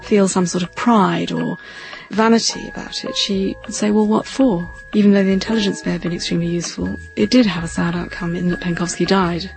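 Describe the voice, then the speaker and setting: A woman's voice: lowish voice, steady tone, a little fast, creaky, few pauses, darkish tone, faint music behind, rough recording. A mature woman giving a reflective personal recollection, hesitant and searching for words. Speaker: interviewee.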